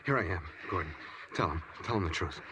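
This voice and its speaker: An actor playing a hot-headed accused brother, urgent and pleading, in a 1940s radio drama. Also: male voice, low voice, expressive, quick pace. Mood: challenging. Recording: noisy, distant old radio broadcast.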